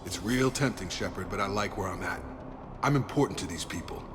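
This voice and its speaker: A man's voice: low voice, fairly quick, noisy background, lightly acted. A man playing a loyal, earnest ex-soldier in a sci-fi video game, plain-spoken and sincere. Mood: earnest, resolved. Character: loyal, earnest male ex-soldier guarding refugees. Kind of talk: cinematic video game dialogue.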